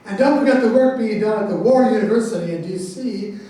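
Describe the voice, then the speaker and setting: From far off in a very echoey, noisy space comes a man acting a blustering president. He is high-voiced and boastful, dismissing critics as he defends his absurd ideas in a stage satire. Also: fairly quick, warm tone, natural voice. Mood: boastful, defensive. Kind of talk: staged reading of a satirical play. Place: echoey room, far from the microphone. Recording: very echoey, distant, noisy hall recording.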